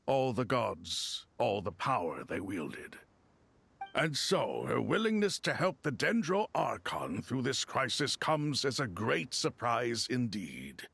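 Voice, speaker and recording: A man playing a solemn, learned desert god in a video game, speaking formally in a gravelly, measured way. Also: medium pitch, very animated, a bit bright, full character voice. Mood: solemn, reflective. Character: solemn, learned male desert god loyal to his king.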